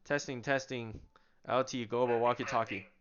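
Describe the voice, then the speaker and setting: A man's voice: mid-range voice, steady tone, normal speed, a crowd in the background. A man casually demonstrating walkie-talkies, a chatty talker who asks rhetorical questions and answers them himself. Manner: chatty, with small laughs and restarts. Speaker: product demonstrator. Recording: slightly dull lo-fi sound.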